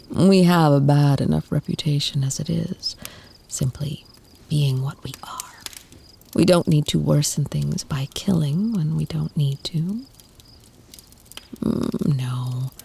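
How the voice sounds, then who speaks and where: Slow, whispering ancient vampire woman, acted by a woman. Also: sits low, slight background noise. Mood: calm, dismissive. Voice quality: soft, breathy, whispery. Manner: slow, soothing, intimate murmur with lingering pauses. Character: ancient female vampire, tender, protective, motherly lover. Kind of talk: ASMR romantic roleplay, whispered and breathy.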